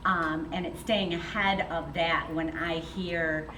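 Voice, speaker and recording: Woman, low register, informal group introduction, noisy reverberant room. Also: takes it slowly. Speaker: school technology director. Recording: echoey room, distant mic, steady noise.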